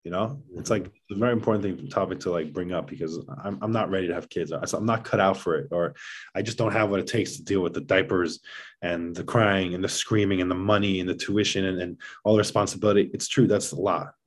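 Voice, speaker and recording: A man's voice: sits low, fairly even intonation, quick pace. A man speaking as a podcast guest in casual conversation, explaining things in an earnest, emphatic way. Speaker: podcast guest, father of seven.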